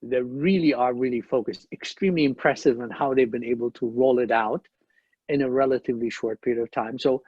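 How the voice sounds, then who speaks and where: A man from Ethiopia, a conference panelist, explaining his points in a measured way with frequent restarts. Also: medium pitch, fairly even intonation, a little mellow, husky, no room sound, dull audio. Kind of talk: panel discussion.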